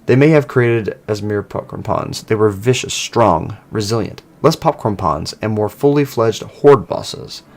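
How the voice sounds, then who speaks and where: A man voicing an earnest informant in online horror fiction, recounting old rumors in a measured way. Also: on the low side, a little mellow.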